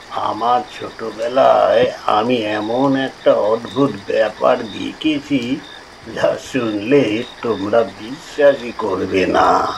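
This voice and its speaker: Older village man spinning an eerie yarn for an audio drama, slow chatty delivery, bit of background noise. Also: mid-range voice, vocal fry, poor audio. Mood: earnest, insistent. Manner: conversational, emphatic, addressing his listeners. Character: older village man telling an uncanny tale from his youth. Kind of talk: audio-story dramatic storytelling.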